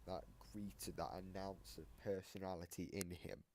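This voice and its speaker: Low-voiced man commentating over noisy mic. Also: fairly even intonation, moderate pace, darkish tone. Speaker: reaction video commentator. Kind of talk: casual explanatory commentary. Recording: noisy home mic with clicks.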